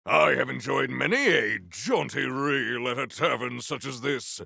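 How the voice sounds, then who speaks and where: An actor playing a noble, earnest warrior hero in a video game, speaking in a pompous, formal and grandiose way. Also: male voice, mid-range voice, animated, a little slow, gravelly, bright, forceful tone, no room sound, studio quality, full character voice. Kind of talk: video game character voice acting.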